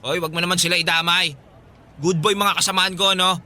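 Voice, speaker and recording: A man's voice: high voice, steady tone, brisk, sharp tone. A man playing a cocky, evasive philandering boyfriend in a radio drama, whiny and defensive. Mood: defensive, dismissive. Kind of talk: Filipino radio drama acting.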